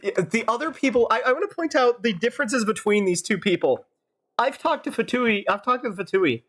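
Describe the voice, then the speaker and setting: A woman's voice: fairly high pitch, animated, fairly quick. A woman streaming a game with improvised in-character banter, dry and sarcastic.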